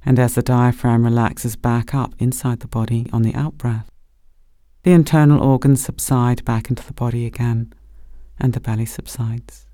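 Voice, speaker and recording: A man gently leading a guided body scan meditation as a meditation teacher, speaking slowly and soothingly. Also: medium pitch, flat delivery, dry recording, clean studio sound. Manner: gentle, slow, soothing instruction.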